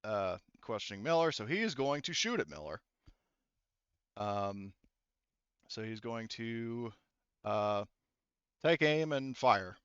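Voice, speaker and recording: Man, tabletop game master, clean resonant tone, halting delivery, dry close headset sound. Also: medium pitch, very animated, fairly bright tone, smooth, no echo, muffled recording. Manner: halting, thinking aloud. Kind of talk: running an online tabletop roleplaying game. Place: online voice session.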